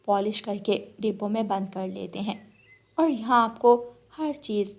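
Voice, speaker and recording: A woman voicing a gentle, helpful village bulbul in a children's cartoon, patient and softly reasoning. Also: medium pitch, unhurried, gravelly. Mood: patient, explaining. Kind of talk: children's cartoon story acting.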